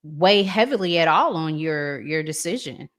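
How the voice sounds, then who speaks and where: Low-pitched woman hosting a podcast. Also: expressive, moderate pace. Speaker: podcast host. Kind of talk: casual interviewing, reads audience comments.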